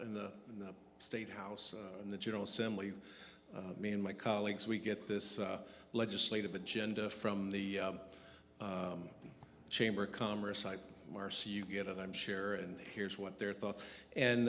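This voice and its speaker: Lowish-voiced man in a reverberant hall. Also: a bit bright. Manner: halting, hedging explanation. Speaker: state legislator. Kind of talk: answering questions at a public forum. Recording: reverberant hall with faint background noise.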